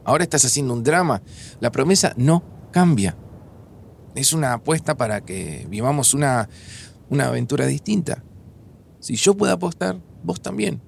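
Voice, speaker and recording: A man's voice: mid-range voice, sing-song, moderate pace, gravelly, a little hiss or hum. An actor with a Buenos Aires accent playing an earnest, insistent, guilt-burdened man in an audio drama. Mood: reassuring, insistent. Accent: Argentine, Buenos Aires. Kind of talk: audio fiction acting, naturalistic dialogue.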